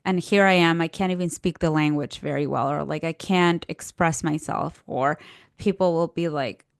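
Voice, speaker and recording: A woman's voice: deep voice, moderate pace, gravelly, smooth, no echo, clean studio sound. A woman from Peru with a Peruvian Spanish accent, telling personal stories in a podcast conversation and acting out little quotes as she goes. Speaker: podcast guest, career coach.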